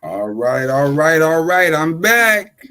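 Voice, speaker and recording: A man's voice: a little high, big pitch swings, slow pace, bright, forceful tone. Rambling man in his forties. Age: 40s. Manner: rambling, full of fillers and "right?" tag questions. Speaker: podcast guest, rapper. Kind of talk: casual chat.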